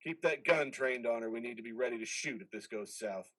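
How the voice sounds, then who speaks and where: A man's voice: a little fast, lightly acted. A man in a reader's theater drama playing a stern, ruthless veteran military commander with a commanding delivery. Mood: gruff, wary. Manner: commanding, swings from low growl to shouting.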